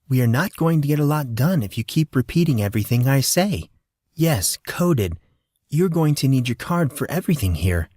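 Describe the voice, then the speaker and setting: A man voicing an excitable, scatterbrained motherly woman, pitched up. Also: normal speed, no room sound, clean studio sound. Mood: absent-minded. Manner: eager, fussing.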